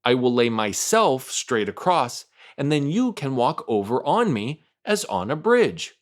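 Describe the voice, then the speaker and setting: A man's voice: mid-range voice, very animated, measured pace. A man voicing an earnest, friendly talking straw with a dramatic storybook manner. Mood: helpful. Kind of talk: storybook character voice.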